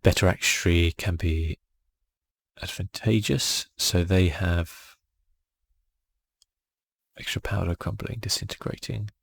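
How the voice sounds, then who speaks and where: A man giving soft-spoken ASMR commentary on a video game in a murmured, laid-back way, as if thinking aloud. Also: low voice, slow, creaky, pauses often, slightly rough, no room sound. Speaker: gaming channel host. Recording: faint music behind.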